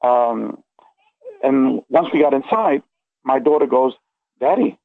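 Gravelly man on a phone-like line. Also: mid-range voice, slow, dry recording. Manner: earnest, emphatic, checks in with okay and you know. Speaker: interview guest recounting personal experiences. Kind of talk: casual, rambling first-person storytelling.

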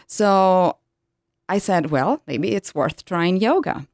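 Low-voiced Italian woman telling her story. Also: expressive, slow pace, darkish tone, dry recording, studio quality. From Italy. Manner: hesitant, searching for English words with many ums. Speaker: guest, yoga teacher. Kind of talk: interview storytelling.

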